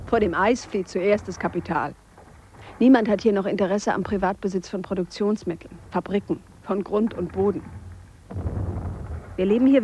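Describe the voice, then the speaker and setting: An actress playing an earnest, reflective Swiss woman biologist who speaks a measured monologue in a radio play. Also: mid-range voice, a little mellow, a little hiss or hum, close to an everyday voice, poor audio. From Switzerland. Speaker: biologist character. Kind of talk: radio drama monologue, spoken letter.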